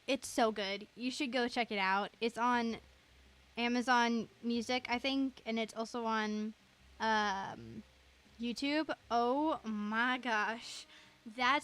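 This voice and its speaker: A woman's voice: a little high, a bit bright. A young woman livestreaming a game, chatting casually in a gushing, chatty way.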